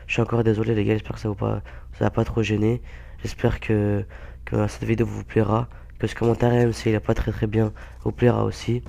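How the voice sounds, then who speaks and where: A young man with a hoarse, blocked-nose voice from a cold, giving halting, casual gameplay commentary full of fillers. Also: lowish voice, completely flat delivery, mellow. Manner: halting, many fillers and restarts. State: hoarse, stuffy-nosed with a cold. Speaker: gaming YouTuber.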